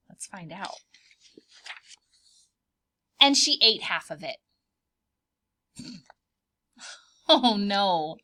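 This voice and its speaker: A woman reading a picture book aloud for preschoolers, expressive and dramatic, pausing to put questions to the children. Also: a little high. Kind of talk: animated children's storybook read-aloud with asides.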